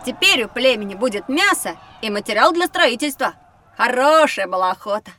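A woman voicing a curious, excitable young boy in a children's educational drama, eager and emotive. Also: on the high side, moderate pace, no echo, far from the mic, full character voice. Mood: wondering.

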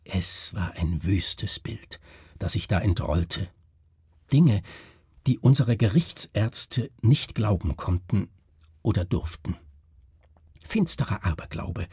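A man voicing a weary, shaken man gravely recounting an unsolved horror in a dramatic literary reading. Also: sits low, dark tone, no room sound, clean studio sound, full character voice.

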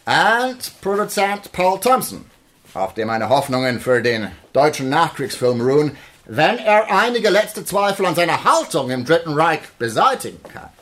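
A showy male army film officer, fairly high in pitch and very animated. He presents grandly, with commanding, mocking flourishes in radio-play style. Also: measured pace. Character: showy, sardonic American military film officer, German-born. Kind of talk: theatrical German radio drama acting.

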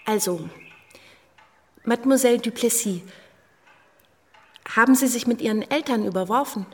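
An actress playing a proper, politely disapproving young wife in a radio drama, speaking in a measured, careful way. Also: female voice, a little high, slightly rough. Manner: measured, polite, hesitant on delicate matters. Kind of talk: German radio drama acting.

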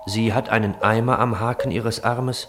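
A man acting as the detached narrator of a literary radio play, reading in a measured, deliberate way. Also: on the low side, fairly even intonation, heavy background noise, lightly acted. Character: detached male narrator of a surreal scene. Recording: music playing behind the voice.

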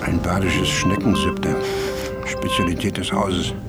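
An actor playing a vain, reclusive older writer-philosopher in a German radio drama, speaking in dry, formal, measured phrases. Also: male voice, low-pitched, fairly even intonation, loud music behind the voice. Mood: dryly teasing.

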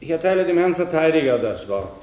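An actor playing a stern, formal presiding judge with an official manner in a radio play. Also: male voice, fairly high pitch, sing-song, quick pace, a little mellow. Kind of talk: German radio play acting. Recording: echoey room, faint hiss.

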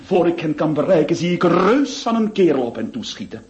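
An actor playing a panicky, flustered gentleman who stammers and exclaims in a Dutch-language radio play. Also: a little high, very animated, a little fast. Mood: agitated storytelling. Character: panicky near-sighted gentleman in trouble over a duel. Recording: dull lo-fi radio recording.

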